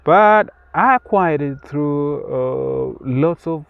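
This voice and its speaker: A man's voice: a little high, lively intonation, slow pace, gravelly, smooth, dry recording, highs cut off. A Kenyan man who speaks English with an American-sounding accent, talking earnestly in an interview and often repeating words. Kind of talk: casual interview. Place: outdoors in rural Kenya.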